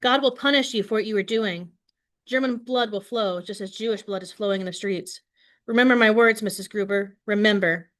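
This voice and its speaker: A woman voicing a tearful middle-aged mother who begs in a high, strained voice. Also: a little fast, a bit bright, close to an everyday voice. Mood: defiant. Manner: tearful, begging.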